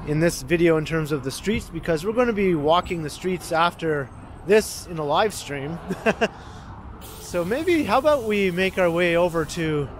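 A man chatting casually while walking a city street and filming a vlog, relaxed and often laughing. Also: on the high side. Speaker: vlogger. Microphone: handheld gimbal camera. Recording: loud street and traffic noise. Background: passing traffic.

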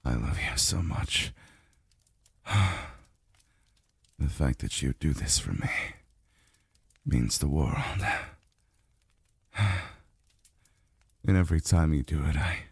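A man playing a weary, tender immortal lover in an intimate ASMR roleplay, murmuring haltingly with sighs, close to the microphone. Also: expressive, no room sound, heavily acted. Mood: tender, drowsy. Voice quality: very deep, gravelly, breathy. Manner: halting, murmured, sighing delivery. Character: weary, tender adult male immortal being soothed by a lover. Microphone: close dry microphone.

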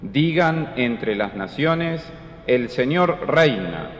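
A man's voice: mid-range voice, lively intonation, drawn-out words, a little hiss or hum. An older man, solemnly reading scripture as a lay reader at a lectern. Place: large brick church. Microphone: lectern gooseneck microphone. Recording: echoey church, long reverb.

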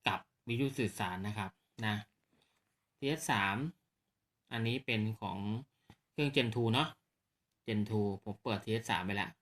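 A man's voice: fairly low pitch, a little slow, long pauses, fairly bright tone. A man giving a casual hands-on product demonstration to viewers, explaining politely and often checking that they follow. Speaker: presenter of radio gear demo on his own video channel.

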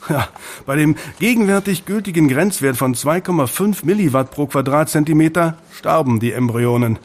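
An actor playing a slick, wry tabloid newspaper reporter in an audio drama, chatty and keen to explain. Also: male voice, fairly high pitch, normal speed, close to an everyday voice. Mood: informative.

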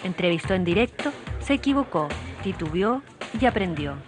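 A woman's voice: fairly low pitch, lively intonation, moderate pace. Woman narrating a TV feature. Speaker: TV reporter narrator. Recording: voice-over with music bed.

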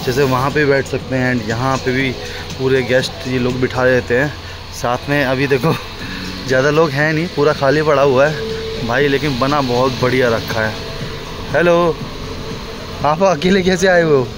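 A man's voice: mid-range voice, fairly even intonation, moderate pace, background noise. A man, talking casually and directly to viewers as he hosts a vlog on a phone held at arm's length. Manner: chatty, informal, addressing viewers directly. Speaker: vlogger, host. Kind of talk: casual vlog narration mixing Hindi and English. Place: rooftop lounge, open-air and semi-covered seating at night.

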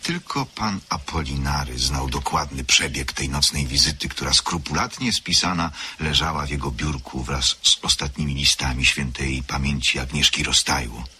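A man's voice: very deep voice, moderate pace, hardly any pauses, bright, forceful tone, slight background noise, full character voice. A man narrating a literary radio drama of suspense as a solemn storyteller. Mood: grave, measured. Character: solemn male storyteller of a gothic tale.

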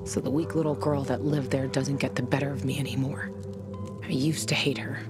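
A woman playing a tough, guarded fighter in her thirties in a video game, speaking in a hushed, wry and bitter way. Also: a bass voice, level tone, brisk, loud music behind the voice. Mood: wistful, guarded. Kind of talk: intimate cinematic game dialogue.

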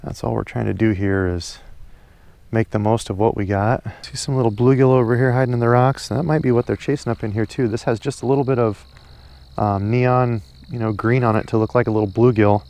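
A man's voice: mid-range voice, fairly even intonation, fairly quick, gravelly, darkish tone, dry recording. A young man chatting casually and upbeat while fishing outdoors from a pond bank, filmed on a head-mounted action camera. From Iowa, USA. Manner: chatty, upbeat, folksy sayings. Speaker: fishing vlogger.

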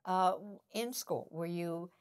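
A woman's voice: lowish voice, slow, clear, resonant tone. An older woman hosting an interview, gracious, with a scripted introduction and casual questions, on a lapel mic. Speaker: interview host. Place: seated in a studio set.

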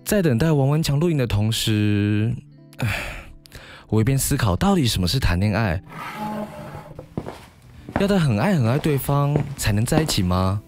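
A man's voice: medium pitch, lively intonation, moderate pace, long pauses, darkish tone, lightly acted. An actor playing a pensive young man voicing his inner thoughts on love in a radio drama. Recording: music behind the voice.

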